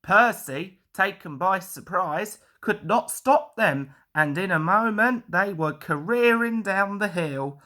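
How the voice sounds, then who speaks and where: A woman's voice: medium pitch, animated, takes it slowly, hardly any pauses, sharp tone. A woman reading a children's story as a scripted narration.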